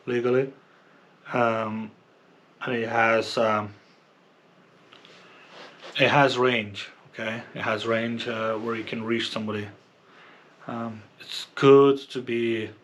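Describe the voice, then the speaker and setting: A man's voice: on the low side, a bit bright, a little hiss or hum. A man giving a casual, unscripted product review in a hesitant, rambling way, often repeating himself. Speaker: product reviewer and kayak angler. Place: indoors at home near a computer.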